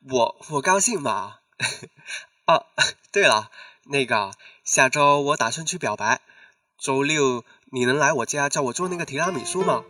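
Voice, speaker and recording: A man's voice: mid-range voice, expressive, unhurried, sharp tone, dry recording. A man playing a playful, lovestruck young male customer in an audio drama, with an eager, coaxing manner. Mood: cheerful, excited. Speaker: voice actor in an amateur radio drama. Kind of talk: Chinese audio drama acting.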